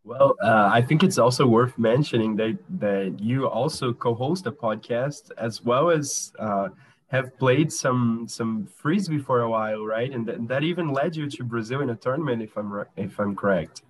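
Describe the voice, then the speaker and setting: A man's voice: medium pitch, normal speed, rough recording. A man hosting an interview, encouraging his guest, with many fillers and repeated words. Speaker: podcast host, interviewer. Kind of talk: friendly interview.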